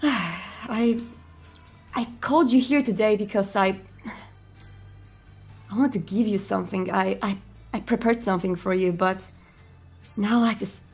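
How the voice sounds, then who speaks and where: A woman playing a sad, hesitant, distrustful male vampire prince who speaks haltingly in a tabletop roleplaying game. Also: medium pitch, darkish tone, husky, faint music behind. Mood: hesitant, hurt.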